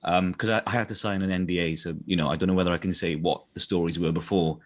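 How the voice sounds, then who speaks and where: A man with a fairly low voice and a South Welsh accent talks casually in an interview. He rambles through his thoughts, restarts and corrects himself, and often checks that the listener follows. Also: fairly even intonation, fast talker. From South Wales. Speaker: voice actor being interviewed.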